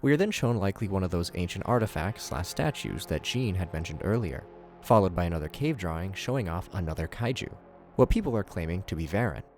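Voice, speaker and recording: A man's voice: deep voice, lively intonation, fast, darkish tone, soft background music. A man giving scripted video commentary in a casual, opinionated, enthusiastic way.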